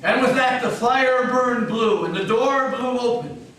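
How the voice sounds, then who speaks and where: Male narrator for a dramatic reading: unhurried, deliberate, theatrical, heard from a distance in a reverberant hall. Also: extremely high pitch. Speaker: story narrator. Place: meeting house. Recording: echoey hall, distant mic, faint noise.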